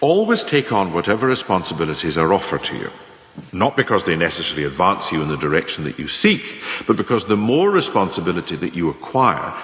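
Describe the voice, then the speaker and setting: A man acts out a shrewd, ruthless mentor delivering a radio play monologue to would-be managers. His low male voice swings expressively through measured, didactic phrases. The sound is echoey, with a long reverberant tail. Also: fairly bright tone. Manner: didactic, measured, formal, emphatic. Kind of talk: scripted radio play monologue, delivered as a lecture in numbered lessons.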